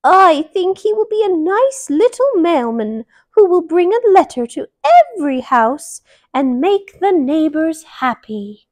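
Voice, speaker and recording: Woman putting on a high-pitched old grandma bunny. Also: very animated, measured pace, few pauses, darkish tone, heavily acted. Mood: fond, hopeful. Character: kindly old grandma bunny, gently insistent.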